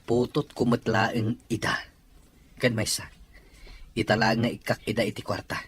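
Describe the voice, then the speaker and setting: A man's voice: fairly low pitch, little pitch movement, normal speed, a bit bright, a little hiss or hum, close to an everyday voice. A man playing a hardworking, exasperated husband and father in an audio drama, sounding weary and defensive. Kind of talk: amateur audio drama dialogue.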